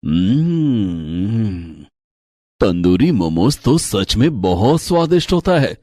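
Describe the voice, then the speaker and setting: A man's voice: fairly low pitch, big pitch swings, slow, a little mellow, no echo, clean studio sound, heavily acted. A man acting a tender, poor father. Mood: tender, caring. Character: poor, loving father, a troubled hotel waiter. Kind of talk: Hindi moral story voice acting.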